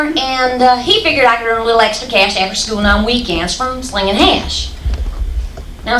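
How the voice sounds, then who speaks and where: A young woman from Texas telling a folksy, deadpan stand-up story with dark punchlines on a stage. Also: on the high side. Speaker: stand-up comedian. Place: stage in an echoey hall. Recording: echoey hall, distant, faint hiss.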